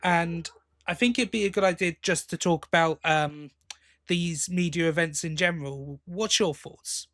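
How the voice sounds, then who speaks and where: A man's voice: on the high side, moderate pace, a bit bright. A man co-hosting a podcast in casual chat, giving his opinions informally and bluntly. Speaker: podcast co-host.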